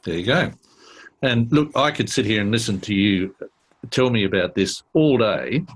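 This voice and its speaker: A man hosts a business podcast interview. His voice is low and a bit husky, and he keeps a friendly, affirming tone with his guest. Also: animated, moderate pace. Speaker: podcast host.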